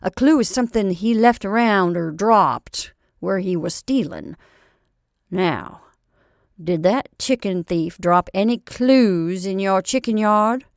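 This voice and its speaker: Woman playing a thin, crisp, impatient Santa impostor. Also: mid-range voice, lively intonation, slow, no echo, clean studio sound. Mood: insistent.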